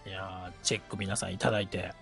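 A man's voice: low voice, level tone, fast. A man co-hosting a casual audio chat. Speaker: co-host. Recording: music clearly behind voice. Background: clear music.

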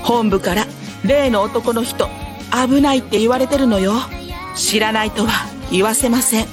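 A woman's voice: a little high, normal speed, natural voice. An actress playing a stern, urgent witch instructor in an audio drama, scolding with authority. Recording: music behind the voice.

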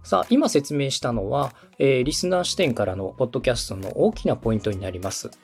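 Lively-pitched man explaining, music behind. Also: quick pace, gravelly, breathy edge. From Osaka, Japan. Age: 30s. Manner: polite, step-by-step explaining, checks in with listener. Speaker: podcast host and radio director. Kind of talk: explanatory podcast talk to a co-host.

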